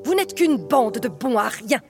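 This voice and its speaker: A woman voicing an indignant, blustering little king who shouts his words. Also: fairly high pitch, very animated, unhurried, voice pushed up. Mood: furious.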